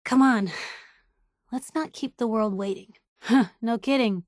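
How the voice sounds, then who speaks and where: An actress playing a blunt, caring young woman reporter in a video game, speaking in a casual, wry and emotionally expressive way. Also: fairly high pitch, a little slow, no room sound, studio quality, close to an everyday voice. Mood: warm, wry. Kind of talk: video game character dialogue.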